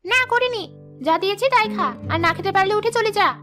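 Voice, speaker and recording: Fairy-tale cartoon role: actress as harsh, sarcastic stepmother, extremely high rapid voice, music underneath. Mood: scornful, irritated. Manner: scolding, sarcastic. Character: harsh, spiteful village stepmother.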